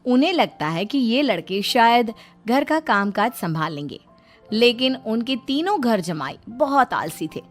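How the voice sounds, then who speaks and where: A woman narrates a scripted cartoon story. Her voice is on the high side, and music plays softly beneath the narration. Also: very animated, a little slow.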